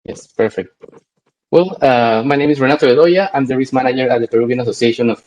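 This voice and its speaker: A man's voice: medium pitch, steady tone, fast talker, darkish tone, muffled recording. A man joining an online panel over a video call, polite as he checks whether he can be heard. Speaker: panelist, risk manager.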